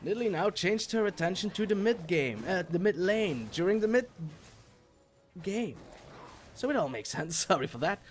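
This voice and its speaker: A young man from Belgium, an English speaker, doing jokey solo commentary on a game replay and getting loud on exciting plays. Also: sits high, normal speed. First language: not English. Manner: jokey, self-mocking, rises sharply on exciting plays. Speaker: esports shoutcaster.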